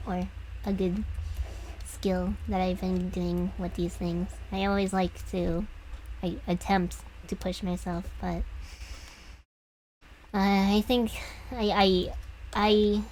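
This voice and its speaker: A young woman streaming as she draws, talking softly and lower than usual, hesitant and often trailing off. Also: little pitch movement, slow, pauses often, a little hiss or hum, rough recording. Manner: soft, hesitant, trailing off with restarts. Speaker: streamer drawing commissions. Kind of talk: casual reflective chat while drawing.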